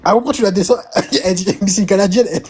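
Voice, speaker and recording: Mellow-voiced man mocking on a live. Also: high-pitched, rapid-fire, a little hiss or hum. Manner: mocking, jokey, incredulous. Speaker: co-host on a live stream. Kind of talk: casual bantering chat.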